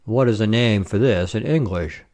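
A man voicing a tipsy bearded French soldier in a short story, slow and doting. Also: on the low side, darkish tone, lightly acted. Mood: curious.

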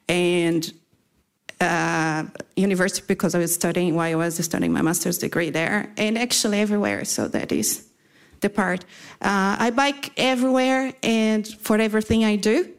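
A woman from Brazil with a Brazilian accent, a native Portuguese speaker, giving an informal talk at a community event, halting, with many fillers and restarts. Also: on the low side.

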